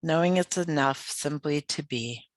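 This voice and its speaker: A meditation guide leading a yoga nidra practice in a soothing, unhurried, gently inviting way with pauses. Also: mid-range voice, no room sound.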